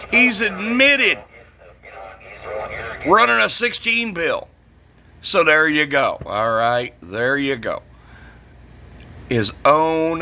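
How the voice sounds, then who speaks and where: A man's voice: fairly high pitch, pushed and bright. A middle-aged man, ranting casually and bluntly about his trade, swearing and repeating himself for emphasis. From northern United States. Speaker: CB radio shop vlogger. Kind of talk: casual opinionated rant.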